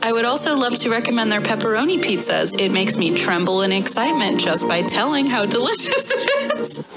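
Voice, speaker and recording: A woman with a fairly high voice and very animated intonation banters as a comedy podcast co-host. She is expressive and giggly, in a casual style. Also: brisk, over loud music.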